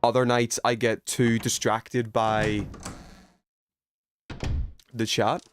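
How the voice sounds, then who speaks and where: A man's voice: mid-range voice, flat delivery, slow, slight background noise. A man hosting a video game livestream, rambling and thinking aloud as he chats casually with viewers. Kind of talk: casual gaming stream chat, reading viewer messages.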